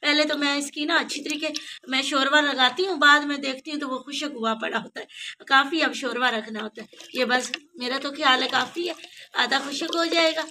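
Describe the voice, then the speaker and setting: A woman's voice: sits high, steady tone, fairly quick, pushed and bright. A woman with a Pakistani Urdu accent, chatting homely through a recipe as she cooks outdoors at a clay stove at night, and pleading with viewers to subscribe. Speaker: cooking vlogger. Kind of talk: casual cooking narration. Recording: distant voice with sizzling noise.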